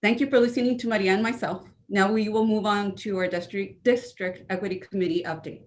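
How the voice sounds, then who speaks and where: A woman, an assistant principal, giving a prepared presentation to a school board in a brisk, determined way. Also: medium pitch, steady tone, a little hiss or hum.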